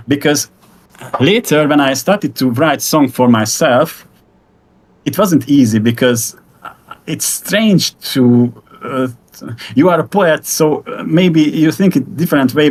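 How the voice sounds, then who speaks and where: A middle-aged man with a Hungarian accent, a native Hungarian speaker, talking haltingly in English on a video call and searching for words with many fillers. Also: fairly high pitch, expressive. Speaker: guest musician. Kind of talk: casual conversation.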